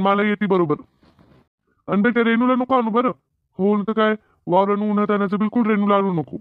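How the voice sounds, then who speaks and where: A man's voice: sits high, clear, resonant tone, no room sound. A man playing a bossy, practical farmer husband in a comedy cartoon, insistent and colloquial, with a rural Maharashtrian accent. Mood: instructing. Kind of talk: animated comedy cartoon dialogue. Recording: narrow phone-like band, dry.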